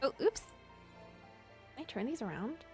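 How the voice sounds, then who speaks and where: A woman reading a visual novel aloud as a streamer, in expressive narration with casual asides. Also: high-pitched, moderate pace, music in the background.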